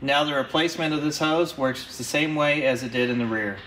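A man's voice: a little high, brisk, a bit bright, slight background noise, low-quality recording. A man narrating a car repair step by step, practical and instructive, as the host of his own workshop videos.

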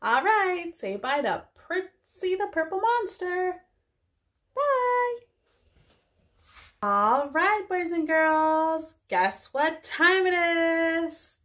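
A young woman, a preschool teacher, speaking to small children in a deliberate read-aloud style with drawn-out words. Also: very high voice, sing-song, fairly bright tone. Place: small indoor room.

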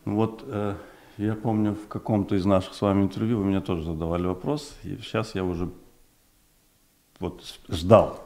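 Deep, mellow-voiced man, native Uzbek speaker. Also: steady tone, normal speed. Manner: thoughtful, hesitant, many fillers and restarts. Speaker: project developer guest. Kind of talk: studio interview. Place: small television studio. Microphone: desk mic on stand.

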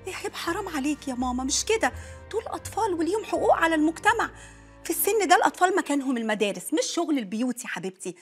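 An actress playing a calm, reasonable neighbor woman who coaxes and lectures in an Egyptian accent. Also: sits high, racing, soft background music. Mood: coaxing. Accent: Egyptian Arabic. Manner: coaxing, lecturing. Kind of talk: comedy sketch.

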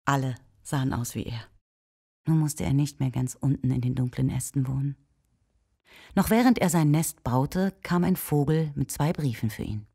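A woman with a low, husky voice narrating a scripted children's audio play as storyteller. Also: moderate pace, dark tone.

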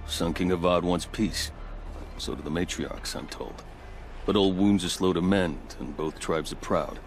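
Deep-voiced diplomatic captain for a game cutscene, formal, measured. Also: male voice, faint music behind. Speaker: game character. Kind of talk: video game cutscene dialogue.

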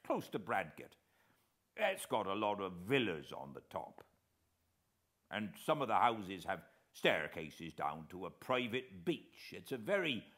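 A man's voice: sits low, fairly bright tone, heavily acted. A British man voicing a respectful, musing old coast guard officer in a storybook reading. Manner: deferential, musing, helpful.

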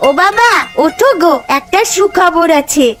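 A woman's voice: very high voice, lively intonation, a little slow, over music. A woman voicing a sweet, eager little village girl in a cartoon. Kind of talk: animated cartoon dialogue.

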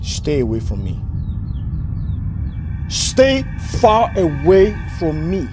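An actor playing a hot-headed young man standing up to his family in a film drama, with a Nigerian accent and a forceful, confrontational delivery. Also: a little high, big pitch swings, normal speed, over music. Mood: fed up. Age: young adult. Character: defiant, hot-headed young nephew defending his wife.

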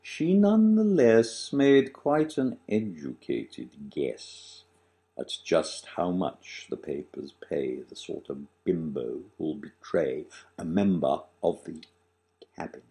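Man in his sixties, dark mellow tone, slow dramatic poetry reading, emphatic, author's recitation. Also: low-pitched, big pitch swings. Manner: dramatic, deliberate delivery with emphatic swells and drops. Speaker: author reading own verse. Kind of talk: theatrical recitation of satirical rhyming verse. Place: small living room, on a sofa, indoors.

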